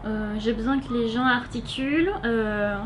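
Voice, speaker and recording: A woman's voice: a little high, fairly even intonation, measured pace, a crowd in the background. A young woman from France with a French accent, answering interview questions casually and hesitantly, with many filler sounds. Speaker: interviewee, volunteer. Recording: noisy background hiss.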